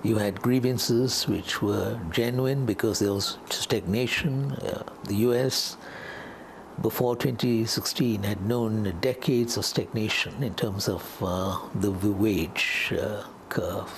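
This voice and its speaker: An older male analyst explains his views in an interview, measured and halting. His voice is low and very creaky, with faint noise behind it. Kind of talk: unscripted interview commentary.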